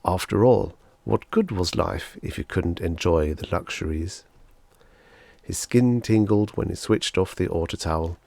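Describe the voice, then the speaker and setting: A man's voice: low voice, unhurried, vocal fry, mellow, no echo. A man narrating a short story as a scripted audiobook reading.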